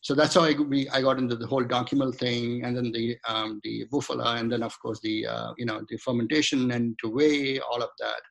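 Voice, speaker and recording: Gravelly middle-aged man from India. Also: medium pitch, flat delivery, fairly quick, hardly any pauses, rough recording. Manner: rambling, many restarts and fillers. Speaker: guest, home cook and shaving-product maker. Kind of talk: casual interview chat.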